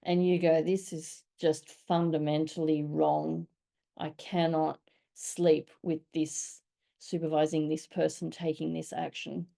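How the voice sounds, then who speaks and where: Low gravelly woman facilitating a discussion. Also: little pitch movement, slow, dark tone. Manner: probing questions, brief affirmations.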